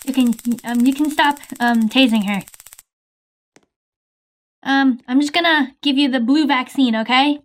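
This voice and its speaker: A woman with a high voice runs a game roleplay in easy, chatty speech. She talks fast and fills the gaps with ums and restarts. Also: poor audio. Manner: quick, chatty, uses fillers. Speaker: roleplay player voicing several characters.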